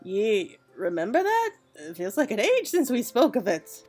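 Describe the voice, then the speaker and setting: A woman's voice: on the high side, huge pitch swings, moderate pace, a little mellow, soft background music. A woman voicing a plain-spoken veteran male craftsman with folksy dialect wording, reading game dialogue. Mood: plain-spoken, encouraging.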